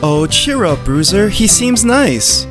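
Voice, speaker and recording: An actor voicing a sweet, naive, excitable male pit bull who talks and narrates in a visual novel. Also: medium pitch, slow pace, over music. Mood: eager, cheerful. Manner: eager, chirpy, childlike wonder. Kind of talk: character dialogue and first-person narration in a visual novel.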